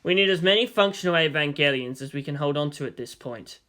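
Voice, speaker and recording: A narrator voicing a cold, calculating middle-aged male commander in a slow, deliberate, grave manner. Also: medium pitch, fairly bright tone, natural voice.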